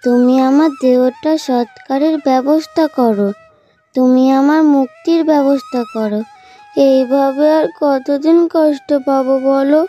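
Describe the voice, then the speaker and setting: Child ghost girl, high soft voice, mellow, gentle, sorrowful, horror cartoon. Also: fairly even intonation. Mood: sad pleading. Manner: slow, gentle pleading. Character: calm, sad ghost of a little village girl. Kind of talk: cartoon horror story acting. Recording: dull lo-fi sound, soft music behind.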